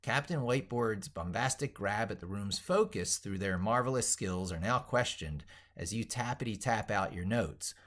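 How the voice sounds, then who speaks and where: A man's voice: fairly low pitch, animated, normal speed, hardly any pauses, fairly bright tone. A middle-aged man reading his own essay aloud as he works on it, in a theatrical, wordy, emphatic way. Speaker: tech educator and video host.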